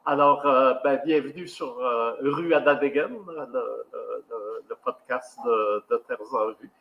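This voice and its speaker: A man's voice: mid-range voice, measured pace, highs cut off, a little hiss or hum. A man co-hosting a podcast, speaking casually with many hesitations. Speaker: podcast co-host.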